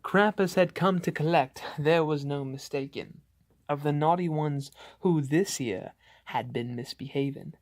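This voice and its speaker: Man reading as a slow, ominous horror-poem narrator. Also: a little high, no echo, close to an everyday voice. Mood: grim dread. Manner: theatrical, ominous, drawn-out delivery. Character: frightened father narrating a scary Christmas demon poem. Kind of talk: dramatic storybook poem reading.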